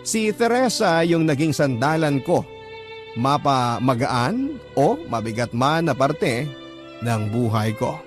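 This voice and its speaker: A man's voice: medium pitch, sing-song, slow. A man reading a first-person letter aloud in a reflective, heartfelt manner. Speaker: letter narrator. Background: violin music.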